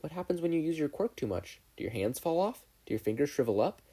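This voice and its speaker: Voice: medium pitch, big pitch swings, fast, mellow, voice pushed up. A reader voicing a nervous, earnest teenage boy inventor with an eager, apologetic manner in a fan fiction audiobook. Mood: curious.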